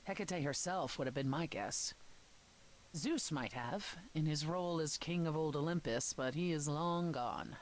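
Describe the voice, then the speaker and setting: A grave goddess from a dramatic video game, with a deep female voice. She speaks in a measured, sombre, matter-of-fact manner over audible hiss. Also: low-quality recording. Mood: probing. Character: Persephone, grave, world-weary goddess of the underworld.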